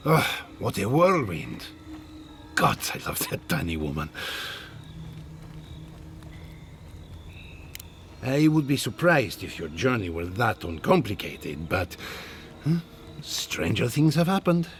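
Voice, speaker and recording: An actor playing a measured, dry-witted scholar in a fantasy video game, speaking formally and articulately. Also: male voice, fairly low pitch, big pitch swings, slight background noise. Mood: wry, affectionate. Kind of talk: video game dramatic acting.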